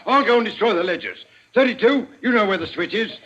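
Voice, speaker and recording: High-pitched brusque gang henchman. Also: expressive, quick pace, fairly bright tone, breathy edge, full character voice. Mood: brisk, commanding. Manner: blunt, commanding. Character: brusque, ruthless male gang henchman giving orders. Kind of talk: old radio drama acting. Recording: noisy, dull old radio recording.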